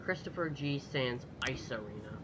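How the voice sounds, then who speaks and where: Animated man doing casual gameplay commentary, noisy recording. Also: mid-range voice, moderate pace. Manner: muttering to himself, profane, reading on-screen text aloud. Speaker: gaming video host.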